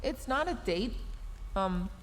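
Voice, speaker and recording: A woman's voice: mid-range voice, expressive, takes it slowly. Woman acting a huffy tsundere mech engineer, cavernous reverb. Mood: flustered, shy. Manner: huffy, flustered, stammering, emotional delivery. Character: tsundere young woman mech engineer, scolding but secretly caring. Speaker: voice actor. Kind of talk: sci-fi audio roleplay, addressed to the listener. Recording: long reverb, clear background noise.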